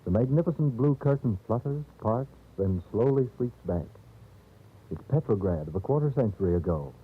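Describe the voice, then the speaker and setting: A man's voice: mid-range voice, animated, normal speed, husky. A man narrating a scripted 1930s radio show as its host, with a theatrical, picture-painting delivery, on an old radio recording. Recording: tinny narrow-band 1930s radio recording.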